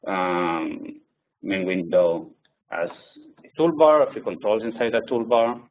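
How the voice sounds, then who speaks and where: Man with a French-Spanish accent, non-native English, lowish voice, presenter, distant tinny line. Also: fairly even intonation, slow pace, a little hiss or hum. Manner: hesitant, with many fillers. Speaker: software engineer presenter. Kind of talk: live coding webinar. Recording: distant tinny phone-like audio.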